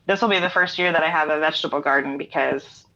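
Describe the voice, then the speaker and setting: A woman's voice: low-pitched, fast, sharp tone. A middle-aged American woman chatting casually as an interview guest, in an easygoing, explanatory way. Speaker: interview guest, singer-songwriter and writer. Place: remote online call from home. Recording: dull lo-fi online call audio.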